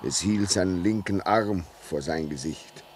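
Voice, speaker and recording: An actor playing a haunted, subdued railway signalman in a radio play, speaking haltingly. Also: low voice, darkish tone. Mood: haunted, grave. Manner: slow, halting, heavy delivery. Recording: noisy radio drama recording with ambience.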